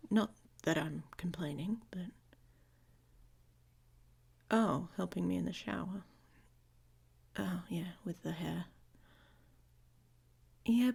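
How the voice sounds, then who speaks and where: Woman doing a grumpy werewolf girl for asmr roleplay, slow n raspy, kinda sarcastic but protective, whispery. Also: on the low side, a little mellow, heavily acted. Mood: grumbling, teasing. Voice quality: husky, creaky, often dropping to a whisper. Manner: sarcastic, blunt, halting. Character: gruff, grumpy, protective she-wolf shifter woman, secretly affectionate. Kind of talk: intimate ASMR romance roleplay.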